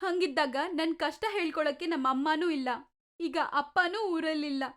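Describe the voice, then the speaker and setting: A timid, mistreated young pregnant woman in a cartoon story, voiced by a woman. The voice is high and soft, pleading and weary. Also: moderate pace, close to an everyday voice. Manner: soft, pleading, complaining of pain.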